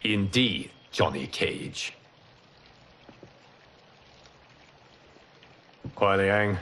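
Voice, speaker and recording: Solemn, low-voiced fire god. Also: male voice, bright, forceful tone, slight background noise, exaggerated voice. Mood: stern. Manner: formal, measured, commanding. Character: solemn male fire god, wise protector of Earth. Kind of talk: cinematic video game voice acting.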